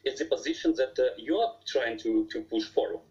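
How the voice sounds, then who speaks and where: A man's voice: mid-range voice, animated, brisk, a little mellow. Guy, diplomat, earnest, muffled lo-fi audio. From Ukraine. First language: Russian. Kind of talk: debate argument.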